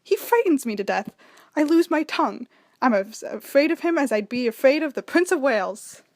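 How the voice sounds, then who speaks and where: Woman doing a girlish young debutante daughter, high voice, plain-spoken, radio drama, very dry sound. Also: big pitch swings, moderate pace, darkish tone, dry recording. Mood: reluctant, protesting. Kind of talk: radio play acting.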